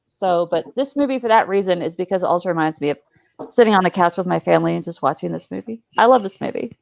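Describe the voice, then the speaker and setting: A woman's voice: lowish voice, fast, creaky, a little mellow, highs cut off. A woman panelist chatting casually and enthusiastically about films, with emphatic delivery.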